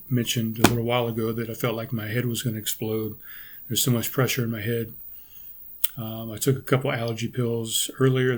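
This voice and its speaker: A middle-aged man hosting a podcast, talking casually with a raspy, hoarse and congested voice, pausing often to clear his throat and restarting sentences. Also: medium pitch. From United States. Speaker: podcast host. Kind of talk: casual solo podcast talk.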